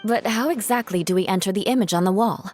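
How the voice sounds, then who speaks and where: A woman voicing a calm, caring companion. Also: mid-range voice, quick pace, soft background music, natural voice. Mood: observant. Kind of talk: video game voice acting.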